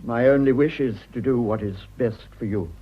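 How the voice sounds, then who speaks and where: Actor as earnest English husband, slightly muffled. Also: male voice, medium pitch, breathy edge, lightly acted. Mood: anxious, resigned. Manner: formal, hesitant, measured. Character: earnest, self-sacrificing English politician husband, wounded but dignified. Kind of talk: radio drama acting. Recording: muffled, dull radio drama recording.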